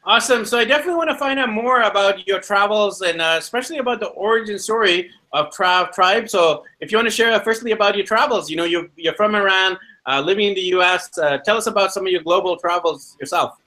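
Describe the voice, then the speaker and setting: A man's voice: high voice, fast, few pauses, bright, forceful tone. A man hosting a podcast interview in an upbeat presenting style. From Vancouver, Canada. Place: indoors in a condo. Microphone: video call.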